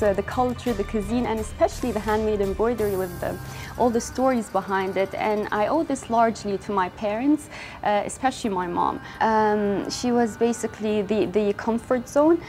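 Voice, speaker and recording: A woman's voice: medium pitch, darkish tone. Earnest young woman, faint music behind. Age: young adult. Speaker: fashion brand founder. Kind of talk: interview monologue.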